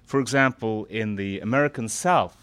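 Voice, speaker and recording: A middle-aged man and former foreign correspondent speaking to a gathering, halting with fillers at first, then growing more animated. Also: lowish voice, dry recording. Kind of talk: speech to an audience.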